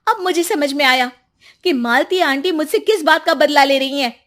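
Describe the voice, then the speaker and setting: Casting: female narrator as young bride, indignant and emphatic, raised high voice, moral-story melodrama. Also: normal speed, fairly bright tone. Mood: realizing, bitter. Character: young daughter-in-law, indignant former spoiled liar. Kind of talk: dramatized Hindi moral story.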